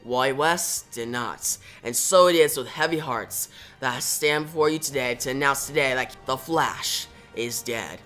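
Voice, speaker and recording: A man's voice: mid-range voice, moderate pace, sharp tone, faint music behind, natural voice. A man voicing a bitter older superhero turned villain, taunting and grandiose, in comic-book storytelling. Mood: solemn, smug.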